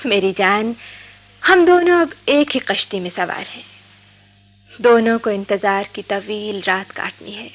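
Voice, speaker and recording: A woman's voice: medium pitch, unhurried, close to an everyday voice. A woman acting a playful, witty young friend in a radio drama, with a teasing, theatrical, poetic way of talking. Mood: tender, consoling. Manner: teasing, verse-quoting, theatrical.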